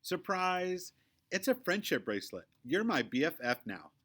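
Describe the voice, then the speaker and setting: Man reading as a bossy young girl, animated pitch. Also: moderate pace, husky, exaggerated voice. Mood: gushing, confident. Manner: emphatic, know-it-all. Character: bossy, prissy young girl, self-assured best friend.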